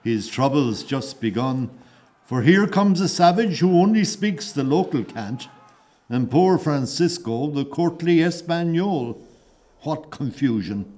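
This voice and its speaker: A man narrating an audio play as a solemn storyteller, in a poetic, literary and measured style. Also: a little high, animated, dark tone. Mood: wry. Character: solemn storyteller of a historical shipwreck tale.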